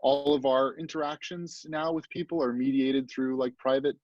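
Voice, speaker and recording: A man with an average-pitched voice talks reflectively and a bit haltingly in a casual panel discussion. The video-call sound is close, dry and slightly dull. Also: fairly even intonation, a little mellow, dry recording. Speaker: theatre actor and deviser, panelist.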